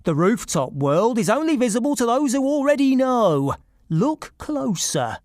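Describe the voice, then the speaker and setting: A stern little male pigeon from a children's storybook, acted out by a narrator. He speaks solemnly and knowingly in a mid-pitched, expressive voice, recorded close and dry. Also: measured pace, no echo.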